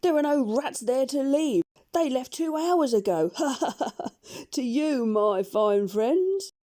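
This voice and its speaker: A woman voicing an excited, scrappy male dog eager to fight. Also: high-pitched, measured pace, pitched above the performer's own voice.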